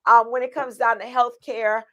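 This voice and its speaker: A woman's voice: on the high side, level tone, moderate pace, a bit bright. A woman running for county commissioner, earnestly laying out her plans with many ums and restarts. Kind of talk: answering interview questions.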